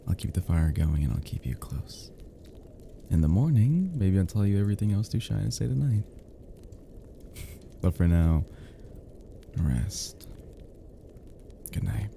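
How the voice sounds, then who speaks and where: A man playing an affectionate, flirty close friend in an intimate romantic roleplay, speaking in a soft, teasing, breathy murmur with hushed pauses. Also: low-pitched, sing-song, slight background noise, exaggerated voice. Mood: tender, soothing.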